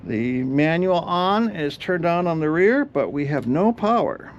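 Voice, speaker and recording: A man's voice: on the high side, expressive, unhurried, lo-fi sound, a little hiss or hum. A man giving a casual hands-on repair walkthrough, explaining each step aloud as he works. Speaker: host of an electronics repair channel.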